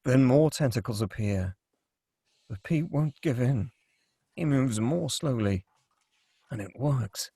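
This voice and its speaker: Guy narrating a script, kinda high voice, husky, reads out scene headings and action. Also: a little mellow, no room sound, clean studio sound.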